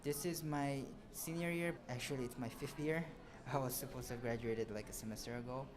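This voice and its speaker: A young man in his twenties from Brazil, a student answering interview questions in a relaxed, hesitant way, often pausing to search for words. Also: mid-range voice, crowd noise. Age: 20s. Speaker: student guest.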